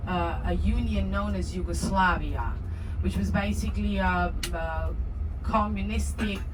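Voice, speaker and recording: A woman from Belgrade with a Serbian accent, giving a guided tour commentary with lively storytelling and frequent hesitant filler sounds, recorded inside a moving tour vehicle. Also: medium pitch, unhurried. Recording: road noise from a moving vehicle. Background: engine and traffic noise.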